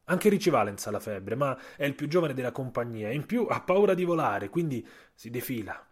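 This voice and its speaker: A man narrates a literary audio story in a husky voice at a middle pitch. His phrasing is measured and dramatic, with repetitions and deliberate pauses, like a writer reading his own scripted radio tale. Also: very animated.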